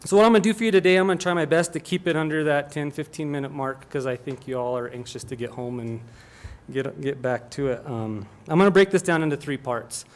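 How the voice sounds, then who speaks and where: A man's voice: a little high, quick pace. A middle-aged man from Colorado giving an earnest, plainspoken public comment at a meeting, often restarting his sentences.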